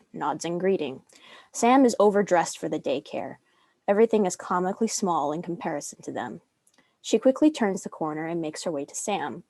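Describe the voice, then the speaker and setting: A woman's voice: on the low side, darkish tone, dry recording. A young woman reading stage directions as the narrator of a dramatic script. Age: young adult.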